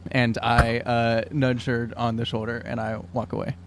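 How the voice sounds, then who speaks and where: A man's voice: medium pitch, completely flat delivery, normal speed, noisy background. A man playing a tabletop roleplaying game on a podcast, bantering casually and sarcastically, with some swearing.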